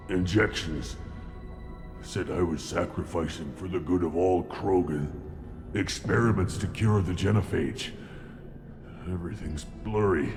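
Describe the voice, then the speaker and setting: A man voicing a wounded, brainwashed alien brute scout in a video game, earnest and unsure of himself, speaking in halting, labored phrases. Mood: dazed, weak. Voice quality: deep, gravelly. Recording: music behind the voice. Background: music.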